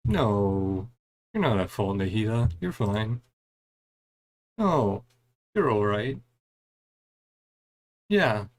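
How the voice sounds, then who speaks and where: A man's voice: on the low side, big pitch swings, drawn-out words, long pauses. A man streaming a game in a casual, chatty way, reading dialogue aloud and murmuring short reactions between the lines. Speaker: gaming streamer playing through a story quest.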